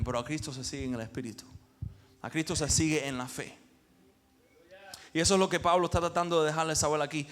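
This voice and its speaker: A man from Cuba, preaching a sermon as pastor with emphatic swells and pauses. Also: fairly high pitch, fairly bright tone.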